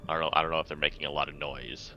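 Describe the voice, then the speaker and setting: A man's voice: very deep voice, fairly even intonation, racing, vocal fry, bright, forceful tone. A man playing a tabletop game, talking in a casual, laid-back, matter-of-fact way. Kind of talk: casual in-character game talk. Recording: dull lo-fi mic, faint noise.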